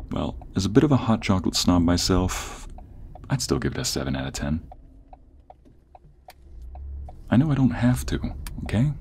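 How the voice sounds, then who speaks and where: A low, deep voice belongs to a man acting as a protective young male friend in a romantic audio roleplay. He talks closely and earnestly, with a teasing streak, over a steady vehicle-like background rumble. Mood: gently insistent. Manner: earnest, teasing, sighing and chuckling between phrases. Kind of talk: ASMR romantic audio roleplay, intimate one-sided dialogue.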